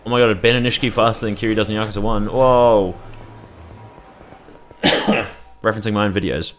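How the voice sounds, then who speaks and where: Low-voiced man doing irreverent gameplay commentary. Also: fairly even intonation, a little fast, slight background noise. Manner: irreverent, profane, rambling. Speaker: gaming video creator.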